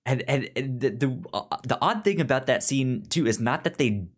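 A podcast co-host chatting casually about films and comics, halting and often stammering over words. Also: mid-range voice, lively intonation, breathy edge. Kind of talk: casual film and comic discussion.

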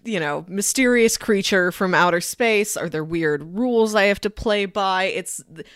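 A woman's voice: medium pitch, moderate pace, a bit bright, clear, resonant tone, no echo, studio quality. A woman co-hosting a podcast, giving enthusiastic, opinionated movie talk in a casual, conversational style. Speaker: podcast co-host. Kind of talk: casual film review chat.

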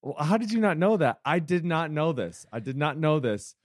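A man's voice: a little high, sing-song, normal speed, no room sound, studio quality. A man co-hosting a podcast, telling stories in a rambling, self-deprecating way. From United States. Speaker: podcast co-host. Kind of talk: casual chat.

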